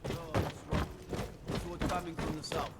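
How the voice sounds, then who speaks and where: An actor playing a shaken young town guard in a fantasy video game, reporting in an earnest, urgent manner. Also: male voice, a little high, flat delivery, measured pace, natural voice. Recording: game dialogue under loud background noise.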